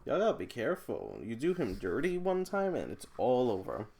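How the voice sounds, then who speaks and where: A man's voice: mid-range voice, wildly swooping pitch, fairly quick, creaky, mellow. A man chatting casually as he reacts to books, with playful, incredulous commentary and slangy asides. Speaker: book vlogger. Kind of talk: casual reaction read-along chat.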